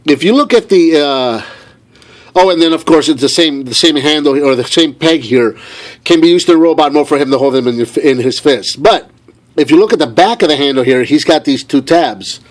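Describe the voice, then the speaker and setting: Male toy review host, higher-pitched voice, enthusiastic and emphatic, speaking off the cuff. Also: normal speed. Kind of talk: unscripted video toy review.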